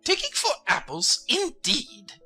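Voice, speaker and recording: A fussy, commanding rabbit master from a storybook. The voice is pitched high and cuts brightly, swooping dramatically as it scolds. Light music plays underneath. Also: male voice, huge pitch swings, slow pace, exaggerated voice. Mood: angry, scolding. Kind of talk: dramatized audiobook character voice.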